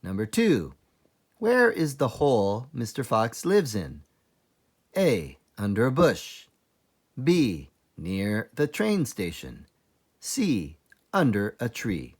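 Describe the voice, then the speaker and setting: A man, a teacher librarian, reading a children's book aloud in a deliberate, expressive storytelling manner. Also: on the low side, breathy edge. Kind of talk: children's read-aloud narration.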